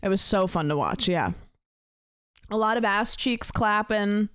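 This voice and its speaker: A woman's voice: mid-range voice, lively intonation, a little slow, a bit bright, clear, resonant tone, dry recording, studio quality. A woman in her late twenties, a streamer chatting casually with her viewers, rambling with lots of fillers. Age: late 20s.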